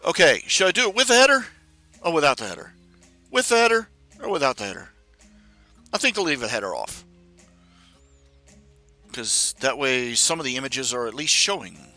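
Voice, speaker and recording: A man in his forties chatting casually on a live stream, rambling and grumbling in a self-deprecating way while he fiddles with software. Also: mid-range voice, sing-song, normal speed, long pauses, soft background music. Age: 40s.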